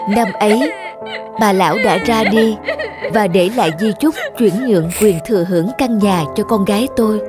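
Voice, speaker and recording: A woman's voice: medium pitch, normal speed, over music, close to an everyday voice. Woman as a reflective mother narrating. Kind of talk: scripted narration.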